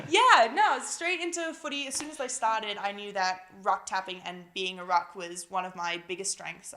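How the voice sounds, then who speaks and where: Young malaysian-accented woman, giddy and breathless, um-ing a lot between answers. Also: mid-range voice. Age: young adult. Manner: excited, breathless, giggly, lots of fillers. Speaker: newly drafted footballer. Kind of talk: casual interview. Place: busy house at home.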